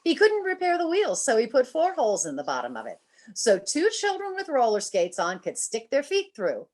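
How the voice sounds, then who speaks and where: Patient, teasing American wife for vintage radio comedy played by a woman, folksy, wry, animated inflection. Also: sits high, normal speed, heavily acted. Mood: playful. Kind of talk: old-time radio comedy acting.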